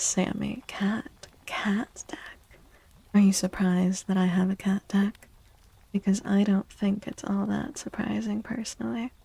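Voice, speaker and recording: A woman hosting a soft-spoken ASMR livestream, hushed and breathy, often slipping into whispers and gently repeating phrases. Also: medium pitch, low-quality recording. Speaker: livestream host, tarot reader. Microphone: close microphone in a dry space.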